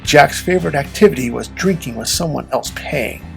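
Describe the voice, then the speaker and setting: A performer narrating a folktale audio drama in a measured, theatrical storybook manner with a husky, gravelly voice. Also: mid-range voice, expressive, a bit bright, soft background music. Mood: dramatic.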